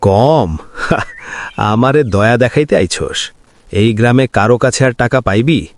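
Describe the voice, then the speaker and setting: A man's voice: mid-range voice, measured pace, no room sound, natural voice. A man voicing a scornful, greedy moneylender. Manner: mocking, domineering.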